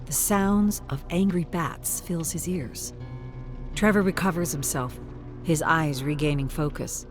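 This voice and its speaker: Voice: mid-range voice, a little slow. Story narrator: mid-range voice, solemn, suspenseful, dramatic scripted delivery, music underneath.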